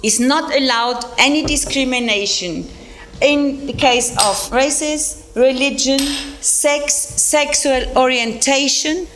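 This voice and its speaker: A woman's voice: sits high, slow, fairly bright tone, slight background noise. A woman giving a formal, explanatory statement.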